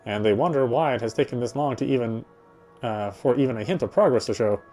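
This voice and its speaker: A man's voice: medium pitch, fast talker, dark tone, soft background music. A man reading first-person visual novel narration aloud in a conversational way, now and then stumbling and repeating himself.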